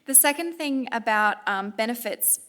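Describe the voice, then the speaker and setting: An Australian woman giving an explanatory panel presentation at a conference, pausing often as she speaks. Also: on the high side, animated, a bit bright. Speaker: panel speaker, director of a climate law non-profit.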